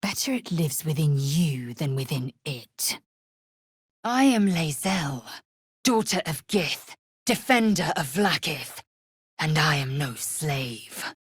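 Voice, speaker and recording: A woman playing a fierce, proud, disdainful young githyanki warrior in a video game, speaking in a husky, breathy, imperious and clipped way. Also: sits low, sing-song, no room sound, studio quality, full character voice. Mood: proud, solemn. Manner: imperious, clipped, deliberate, formal.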